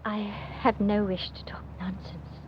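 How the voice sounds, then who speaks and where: A woman playing a reserved, earnest young governess in a classic radio drama, speaking in a grave, quiet, composed way. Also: mid-range voice, flat delivery, a little slow, in a whisper, natural voice. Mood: restrained, polite. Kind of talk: dramatic first-person radio narration. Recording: dull old radio play recording. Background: music.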